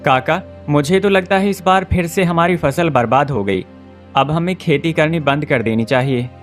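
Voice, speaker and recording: Man as a cartoon village husband, fairly high male voice, earnest, caring, music underneath. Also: normal speed, lightly acted. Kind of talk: cartoon story dialogue.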